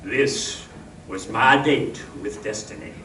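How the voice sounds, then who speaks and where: A man playing a dryly boastful cowboy lawman who narrates his showdown in deadpan old-time radio comedy style. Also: on the low side, slow pace. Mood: mock-solemn. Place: live stage radio show in a room. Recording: echoey stage, distant mic, clear noise.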